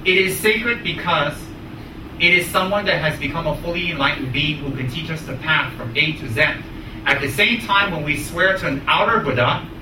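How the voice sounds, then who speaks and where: Male dharma teacher, American accent, emphatic phrasing, echoey room with clear background noise. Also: fairly high pitch, recorded from a distance. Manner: emphatic, deliberate, short repeated phrases. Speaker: Buddhist teacher. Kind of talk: spoken teaching with questions to students.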